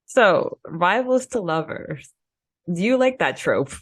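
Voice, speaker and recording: Woman, low voice, dry close sound, podcast co-host, informal fan chat. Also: sing-song, slow, no room sound. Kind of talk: casual fan discussion.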